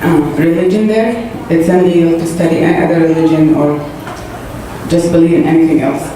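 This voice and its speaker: A woman's voice: low voice, steady tone, warm tone. Woman in an echoey church. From Saudi Arabia. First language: Arabic. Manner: earnest, halting, with fillers. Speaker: guest giving testimony. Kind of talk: personal testimony to a congregation. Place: church hall. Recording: echoey hall, distant, noisy.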